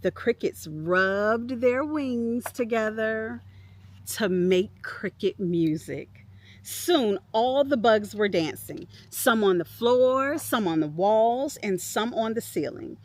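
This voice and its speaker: A woman hosting a children's storytime, speaking expressively and encouragingly to young listeners in a deliberate way. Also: a little high, animated. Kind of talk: expressive read-aloud for preschoolers.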